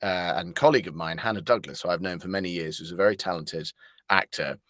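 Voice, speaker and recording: Male interview guest, slightly low voice, dry close sound, hesitant and jovial, casual storytelling. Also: fast, fairly bright tone, dry recording.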